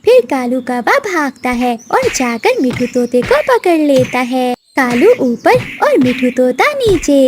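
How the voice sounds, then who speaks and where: A woman's voice: sits high, background noise. A woman narrating a scripted children's cartoon story as its storyteller.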